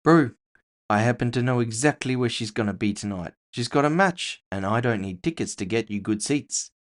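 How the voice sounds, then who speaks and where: A man's voice: medium pitch, animated, moderate pace, voice pushed up, lightly acted. Guy doing a flashy orc buddy, cocky n teasing, lots of slang, voice bounces around, cyberpunk story. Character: showy, cocky young male orc fighter, slangy and easygoing. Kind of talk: cyberpunk audio fiction character voice.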